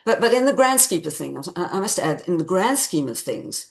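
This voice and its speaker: A woman author answering interview questions in a conversational, measured and explanatory way, repeating phrases for emphasis. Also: fairly low pitch, big pitch swings, vocal fry. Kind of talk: conversational interview answers about own book.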